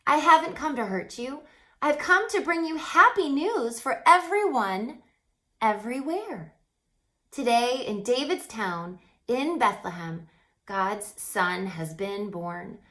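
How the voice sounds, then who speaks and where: Lady doing a big shining angel for a kids storybook, higher voice, excited and reassuring announcement. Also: slow, exaggerated voice. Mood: joyful, reassuring. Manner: exuberant, reassuring proclamation. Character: bright shining male warrior angel bringing joyful news.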